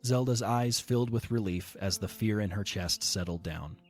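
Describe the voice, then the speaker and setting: Man narrating a story, steady and calm, lowish voice, soft music behind. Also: normal speed.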